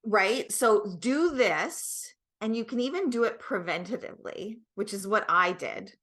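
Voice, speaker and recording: A woman's voice: mid-range voice, animated, unhurried, fairly bright tone. A middle-aged woman, a guest expert explaining things conversationally and emphatically, often checking that the listener follows. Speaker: guest expert, product creator.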